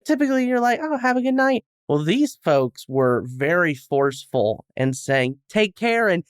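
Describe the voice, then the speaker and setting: A man's voice: sits high, big pitch swings, moderate pace, clear, resonant tone, no echo, clean studio sound. A man as a podcast guest in casual comedic chat, agreeably riffing along with mock seriousness.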